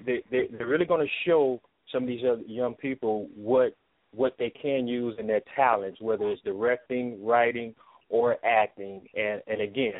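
A man with a lowish voice gives earnest, halting interview answers. He sounds close and dry, through a narrow telephone-quality connection. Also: no echo. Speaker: stage play producer, interview guest. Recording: tinny phone line, dry.

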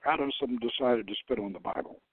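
A man's voice: mid-range voice, fairly quick, no echo. A man, a show host, reading a novel aloud as dramatic scripted narration. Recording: narrowband radio-like sound, dry.

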